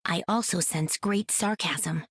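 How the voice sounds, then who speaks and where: An actress playing an empathic alien heroine in a video game, calm and formal with gentle teasing. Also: female voice, on the low side, unhurried, husky, no echo, exaggerated voice. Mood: probing.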